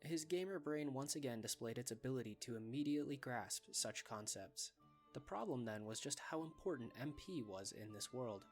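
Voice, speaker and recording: A man narrates a scripted story in a medium-pitched voice. His lines are delivered as third-person storytelling, with light music underneath. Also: animated, normal speed.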